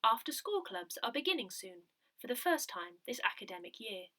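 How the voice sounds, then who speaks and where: Female newsletter narrator, slightly high-pitched, highly animated melody, measured and expressive read. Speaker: newsletter reader. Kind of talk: scripted narration, reading newsletter articles and verse aloud.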